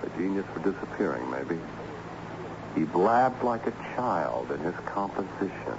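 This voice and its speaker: An actor playing an earnest, learned schoolteacher who speaks insistently and didactically in a radio drama. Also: male voice, low voice, big pitch swings, measured pace. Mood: troubled. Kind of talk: old-time radio drama acting. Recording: muffled, noisy radio drama recording.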